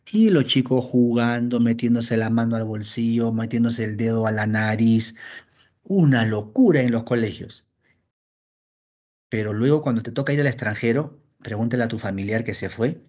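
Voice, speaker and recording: A man teaching a philosophy class over a video call, lively and acting out his students' questions. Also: medium pitch, husky. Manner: animated, acts out students' questions. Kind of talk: online class lecture. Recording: dull lo-fi call audio.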